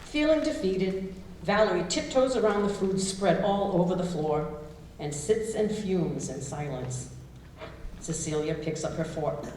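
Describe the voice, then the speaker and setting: Female stage-directions narrator, lowish mellow voice, scripted delivery, very roomy distant recording. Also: unhurried. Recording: very echoey room, distant, faint noise.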